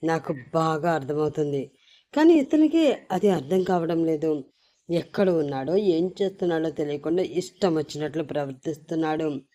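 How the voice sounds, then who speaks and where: A woman's voice: sits low, expressive, moderate pace, mellow. A woman voicing a stubborn young office assistant who argues back indignantly. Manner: indignant, argumentative.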